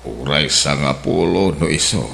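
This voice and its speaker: An actor in an Ilocano radio drama playing a frail, bedridden old man who still jokes, with a low, breathy voice. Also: a little slow, fairly bright tone, heavily acted. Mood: weak, coaxing.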